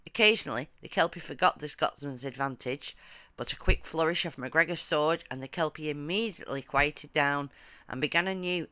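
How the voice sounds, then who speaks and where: A storyteller narrating a folktale from a script in measured, formal storybook phrasing. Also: medium pitch, bright, forceful tone, no room sound.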